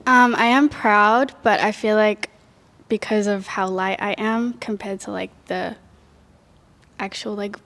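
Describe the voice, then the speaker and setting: A young woman speaking hesitantly in a panel discussion, soft and unsure. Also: mid-range voice, steady tone, unhurried, slight background noise. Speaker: panel guest. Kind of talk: casual discussion. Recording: long reverb.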